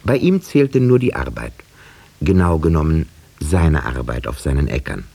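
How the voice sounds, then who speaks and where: An actor playing a village schoolteacher who narrates a 1950s German radio drama in a measured, precise, dryly ironic way. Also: male voice, extremely low pitch, sing-song, gravelly, dark tone, slightly rough, full character voice. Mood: wry, reflective. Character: village schoolteacher narrator, a thoughtful, dry-witted man.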